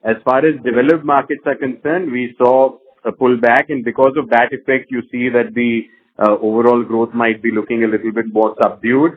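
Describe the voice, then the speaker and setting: A man answering as a company executive on an earnings call, measured and hesitant in corporate phrasing. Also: mid-range voice, level tone. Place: conference call. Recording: thin band-limited conference phone line.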